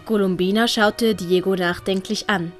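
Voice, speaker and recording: A woman's voice: mid-range voice, steady tone, normal speed. A woman reading scripted story narration as the narrator of an audio play. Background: music.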